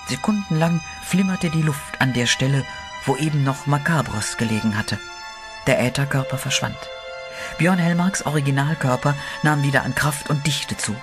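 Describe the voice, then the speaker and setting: Guy reading a horror story, steady, mid voice, music clearly underneath. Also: mid-range voice. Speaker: narrator. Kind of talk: scripted horror narration.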